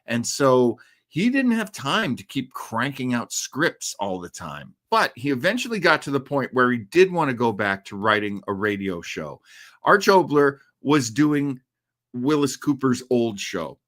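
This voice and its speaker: A man's voice: mid-range voice, sing-song, unhurried, a bit bright. A man hosting a livestream with casual, conversational commentary, rambling and a little hesitant. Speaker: livestream host. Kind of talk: casual commentary on old radio.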